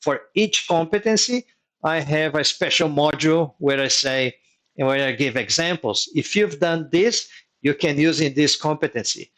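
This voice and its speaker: A man's voice: fairly high pitch, expressive, moderate pace, a bit bright. A man whose first language is Portuguese, hosting a live online workshop as a mentor and explaining advice step by step, repeating key points. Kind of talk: live online teaching session.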